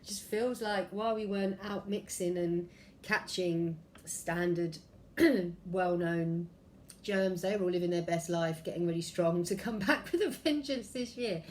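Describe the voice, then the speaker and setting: A woman with a head cold, her voice a little croaky, giving a chatty angel card reading at home with many ums and throat clearings. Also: on the low side, measured pace, darkish tone, slight background noise. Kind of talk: casual spiritual vlog talk. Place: room at home by a window.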